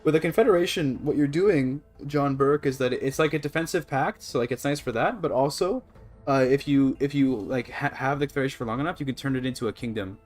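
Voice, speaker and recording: A man's voice: medium pitch, fast, a little mellow, soft background music. A Canadian man chatting casually to his livestream audience, rambling and apologetic as he goes. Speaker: live streamer. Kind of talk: casual gaming livestream chat.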